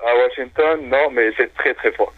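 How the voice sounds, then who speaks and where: A man's voice: medium pitch, normal speed, no echo. A man whose first language is Arabic, calling in to a radio show and chatting casually in French with many hesitations. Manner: enthusiastic, hesitant with many fillers. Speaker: phone-in guest. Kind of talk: casual radio phone chat. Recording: tinny phone line, dry.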